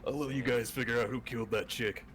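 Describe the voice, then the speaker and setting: A man's voice: mid-range voice, fairly even intonation, fast talker. A man in casual, sweary banter with friends while reading game dialogue aloud.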